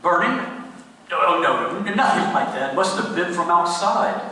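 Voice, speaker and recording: A man's voice: high voice, lively intonation, unhurried, pushed and bright, distant mic, heavily acted. A man playing a nervous, guilt-ridden husband in a comic radio play, flustered and overly polite. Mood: panicked. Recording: echoey hall from afar, faint noise.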